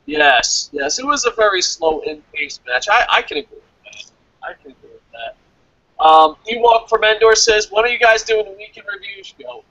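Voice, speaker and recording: A man casually co-hosting a review show, reading out comments and answering them in a blunt, animated, sweary way. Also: high-pitched, moderate pace, no echo, rough recording. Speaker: co-host of a wrestling review show.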